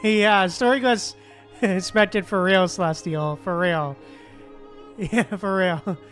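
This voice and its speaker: A man's voice: sits high, slow pace, fairly bright tone. A man streaming a game and reacting in casual, slangy, giggly chat. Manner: laid-back, slangy, giggly. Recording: game music playing behind the voice.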